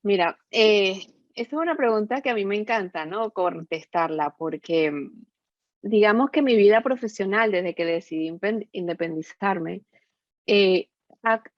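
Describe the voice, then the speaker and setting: A woman's voice: medium pitch, expressive, measured pace, dry recording, muffled recording. A middle-aged woman with a Venezuelan accent giving conversational interview answers over an online call, talkative and often adding little tag questions. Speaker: podcast guest, entrepreneur and business consultant. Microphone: remote online call.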